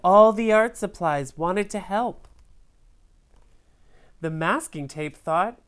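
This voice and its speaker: A woman reading a children's picture book aloud in a theatrical, expressive way, speaking directly to young viewers. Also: on the low side, slow, clean tone.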